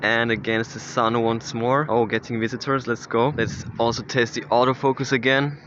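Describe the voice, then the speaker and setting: A man's voice: medium pitch, little pitch movement, moderate pace, a bit bright, dull audio, slight background noise. A man doing a casual, hands-on tech review in an informal, enthusiastic manner with short exclamations.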